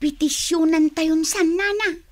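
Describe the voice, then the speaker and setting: A woman's voice: extremely high pitch, a little slow. An actress with an Ilocano accent playing a whiny, tattling woman in a comedy radio drama. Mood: complaining. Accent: Filipino, Ilocano.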